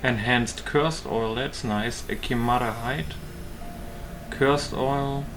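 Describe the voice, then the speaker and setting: A man's voice: medium pitch, steady tone, slow. A man giving casual gameplay commentary, thinking aloud as he hosts a gaming video. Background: game music.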